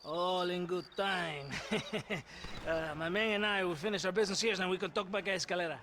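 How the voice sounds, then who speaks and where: Sly, high-voiced Mexican army captain. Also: male voice, fairly quick, slight background noise. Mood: oily, amused. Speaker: game character actor. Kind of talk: video game cutscene acting. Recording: loud horse and outdoor ambience.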